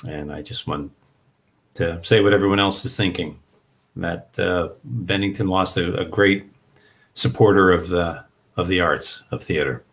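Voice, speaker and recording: A man with a deep, low voice makes personal remarks over a video call. He speaks earnestly, in measured phrases. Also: gravelly, a bit bright. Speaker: actor in a staged reading.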